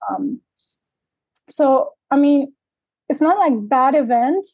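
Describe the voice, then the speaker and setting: Woman, life coach guest, reflective, searching, higher voice, dry sound. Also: lively intonation, slow pace, a little mellow, no echo, poor audio. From Dominican Republic, raised in Canada. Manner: reflective, searching, with many fillers. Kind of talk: interview conversation. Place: remote, traveling in Mexico.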